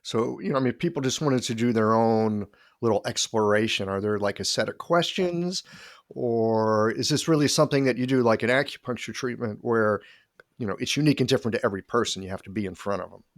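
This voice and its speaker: Laid back guy hosting a podcast, asks easy follow ups, mid pitch. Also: fairly quick. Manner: relaxed, asks follow-up questions, jokes lightly. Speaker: podcast host. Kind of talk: casual interview conversation.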